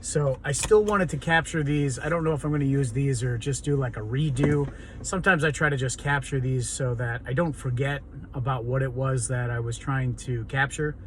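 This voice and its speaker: A man with a hoarse, raw, raspy voice, talking earnestly and rambling in an unscripted personal vlog monologue. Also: medium pitch, normal speed, slight background noise. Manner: rambling and earnest, many ums and restarts. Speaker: vlogger.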